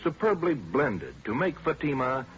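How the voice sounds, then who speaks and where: A man's voice: mid-range voice, big pitch swings, a little slow, fairly bright tone, slight background noise. A man reading a scripted 1950s radio commercial as an announcer, polished and emphatic like a salesman. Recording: dull old radio recording.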